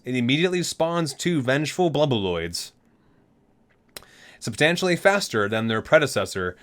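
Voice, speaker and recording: A man's voice: mid-range voice, a bit bright. A man reading aloud in an ASMR style, stumbling over words and correcting himself between casual asides. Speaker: ASMR video host.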